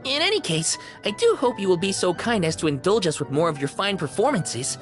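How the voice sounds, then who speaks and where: A bubbly, courteous toy concierge, swooping intonation. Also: female voice, on the low side, big pitch swings, a little fast, music in the background. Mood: enraptured, theatrical. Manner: courteous, theatrical, effusive. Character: polite, bubbly living stuffed toy concierge. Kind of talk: scripted video game character acting.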